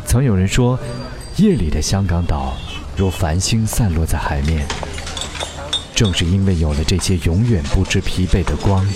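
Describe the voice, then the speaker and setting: An actor playing a weary, wistful singer in a radio drama, with measured, introspective delivery. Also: male voice, low-pitched, animated, background noise. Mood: wistful, reflective. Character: weary, wistful male pop singer recalling his past. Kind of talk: radio drama acting with reflective narration. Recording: music bed behind the voice.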